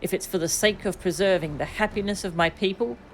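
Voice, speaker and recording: A woman voicing an earnest, expressive young princess from a video game. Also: mid-range voice, brisk, a little hiss or hum, natural voice, poor audio. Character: earnest, excitable young princess afraid of flying. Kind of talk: video game dialogue read aloud.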